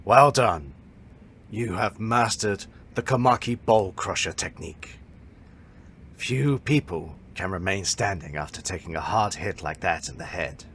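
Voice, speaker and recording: A man playing a proud, stern martial arts master who instructs a pupil in formal, measured speech for an audio drama. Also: deep voice, a bit bright, slight background noise. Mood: proud, approving. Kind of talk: fan audio drama acting.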